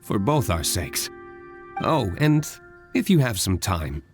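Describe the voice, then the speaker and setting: A composed game gentleman who runs a winery, with a lowish, expressive voice. He speaks formally and articulately, with measured courtesy, over clearly audible music. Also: full character voice. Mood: cordial. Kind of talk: scripted game dialogue.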